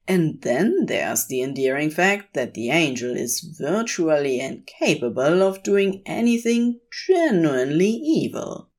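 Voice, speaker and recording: A woman reading scripted fiction aloud as a podfic narrator. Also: deep voice.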